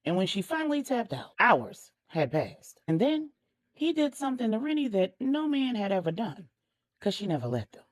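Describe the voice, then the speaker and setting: A woman's voice: medium pitch, big pitch swings, moderate pace, dry recording, studio quality. A woman narrating a story expressively as a storyteller, with a conversational touch.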